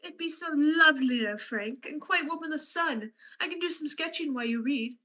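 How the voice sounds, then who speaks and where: A woman's voice: sits high, brisk, bright, forceful tone. A woman playing a sweet, cheerful wife in a radio drama.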